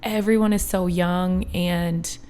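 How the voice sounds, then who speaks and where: Lively thirty-year-old woman telling stories. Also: lowish voice, slow, clear, resonant tone. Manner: animated storyteller, acts out quoted people, laughs often. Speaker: podcast guest. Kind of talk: casual chat.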